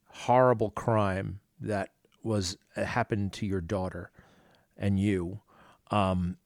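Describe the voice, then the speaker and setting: A man with a low voice hosts an interview, firing off quick questions that stumble through fillers and restarts. The sound is dry and close, with no room around it. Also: a little mellow, no room sound. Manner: rapid, halting questions with fillers. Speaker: interview host. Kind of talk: podcast interview.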